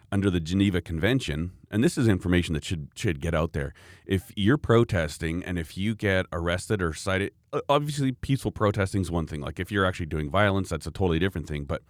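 Deep-voiced man, casual chat, rambling and lively, dry sound. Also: dry recording. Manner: animated, rambling storyteller, frequent laughs.

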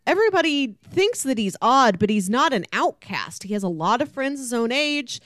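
A woman's voice: a little high, sing-song, moderate pace, fairly bright tone, no room sound, studio quality. A woman co-hosting a casual book discussion, playfully making her points with mock quotes and comparisons. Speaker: podcast co-host discussing a graphic novel.

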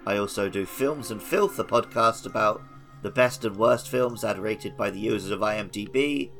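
A young man with a fairly low voice chats casually on a gaming podcast, teasing and joking as he goes. A soft music bed plays faintly under him. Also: normal speed, gravelly. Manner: joking, mock-scolding listeners. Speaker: podcast co-host.

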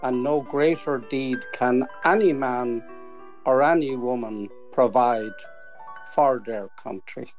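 A man's voice: mid-range voice, takes it slowly, a little mellow, soft background music. Older Irish man, slightly muffled recording. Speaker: interview guest. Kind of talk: earnest spoken tribute.

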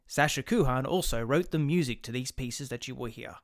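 A man hosting a radio play series, reading a scripted introduction in a formal, measured way. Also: medium pitch, dry recording, studio quality. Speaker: host.

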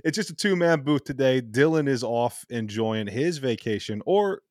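A man's voice: medium pitch, expressive, normal speed, a little mellow, no room sound, clean studio sound. A man in his thirties co-hosting a casual sports podcast, upbeat and joking, swearing now and then. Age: 30s. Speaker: podcast co-host.

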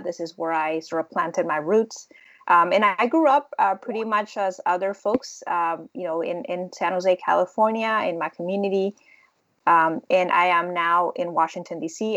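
A woman's voice: on the low side, fairly bright tone, no room sound. A middle-aged woman from Honduras, a guest advocate answering interview questions earnestly and thoughtfully, with frequent fillers and restarts. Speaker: guest, immigrant rights advocate. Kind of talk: interview conversation.

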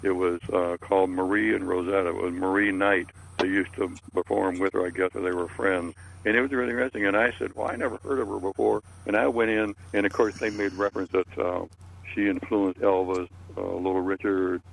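A man's voice: deep voice, steady tone, brisk, vocal fry, low-quality recording. An older man chatting casually and telling stories in a rambling way, often repeating his words and phrases. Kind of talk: casual group chat, storytelling.

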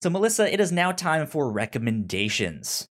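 A man hosting a casual podcast, talking in a halting way and often repeating words as he starts sentences. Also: a little high, sing-song. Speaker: podcast host steering the segments. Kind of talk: casual chat.

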